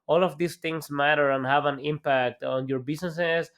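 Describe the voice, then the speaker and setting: A man's voice: on the high side, fairly even intonation, moderate pace, fairly bright tone. A man from El Salvador, a guest entrepreneur, talking casually in an interview with many fillers and restarts.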